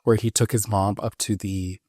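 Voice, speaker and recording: A man co-hosting a podcast, reviewing films in a casual, halting conversational way. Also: lowish voice, level tone, warm tone, dry recording, clean studio sound. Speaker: podcast co-host. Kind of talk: casual film review chat.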